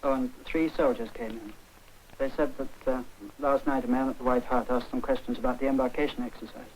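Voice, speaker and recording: An actor as a dutiful army subordinate. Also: male voice, medium pitch, steady tone, fairly quick, a little hiss or hum, lightly acted, rough recording. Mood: matter-of-fact. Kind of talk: 1940s British film acting.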